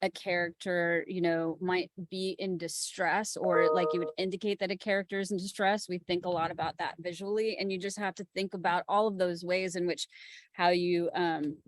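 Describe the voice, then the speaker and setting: A woman's voice: lowish voice, fairly even intonation, normal speed, dry recording. A woman explaining her craft as a producer on a panel, informal and tentative in her phrasing. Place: remote call.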